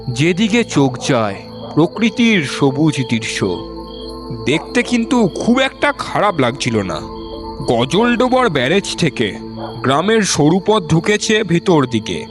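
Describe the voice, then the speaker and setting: Male storyteller for scripted horror audio: slightly high, very expressive pitch, music and night insects behind. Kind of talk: scripted first-person ghost story narration.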